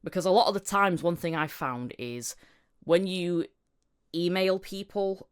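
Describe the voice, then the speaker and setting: A woman's voice: on the low side, lively intonation, unhurried, smooth. A woman hosting a podcast in a casual, conversational interview style. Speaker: podcast host.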